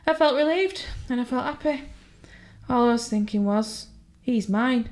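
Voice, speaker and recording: An actress playing an unrepentant, numb woman murderer confessing from prison in an audio horror drama, speaking in a confiding, deliberate way with halting repetitions. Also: on the high side, a little mellow, close to an everyday voice. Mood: numb, haunted. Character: jailed woman murderer, chillingly candid, numb, unrepentant mother.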